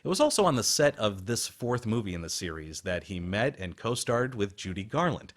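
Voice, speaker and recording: A man's voice: lowish voice, big pitch swings, a little fast. A man hosting a radio program with scripted documentary narration.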